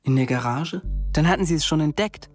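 A man's voice: a little high, brisk, faint music behind, close to an everyday voice. An actor playing an earnest, inquisitive young man in a radio drama, delivering terse short phrases. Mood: intent, narrating. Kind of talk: radio drama narration and dialogue.

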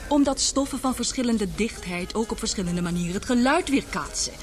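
A woman's voice: on the high side, animated, fairly quick, soft background music, lightly acted. An actress playing a spirited, prickly young woman scientist in an old-fashioned radio play, articulate and self-assured. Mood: curt, irritated.

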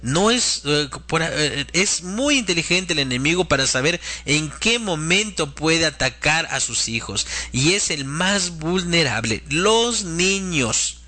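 A man's voice: a little high, animated, slow pace, few pauses, bright, forceful tone. A man hosting a religious program, preaching in an earnest, admonishing way and addressing listeners directly as a spiritual teacher.

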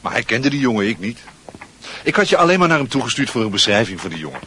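A cocky teenage lad in a radio play, his pitch swinging widely as he talks fast. He is nonchalant, affected and slippery, with faint noise behind him. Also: very animated, sharp tone. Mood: evasive. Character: cocky, evasive, worldly-posing teenage male school friend. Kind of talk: radio drama acting.

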